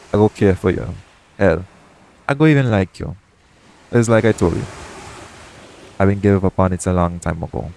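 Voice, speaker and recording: A man playing a shady, jaded yet tender pirate captain in an intimate audio roleplay, talking colloquially and frankly, with gentle teasing. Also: low voice. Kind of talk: intimate audio roleplay acting addressed to the listener. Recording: clear background noise, ambient sound bed.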